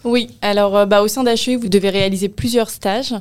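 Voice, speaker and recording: A woman's voice: mid-range voice, fairly even intonation, quick pace, darkish tone, clean tone. A young woman from the Paris region of France answering interview questions in an explanatory way, with frequent hesitations. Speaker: interview guest, senior property programme manager.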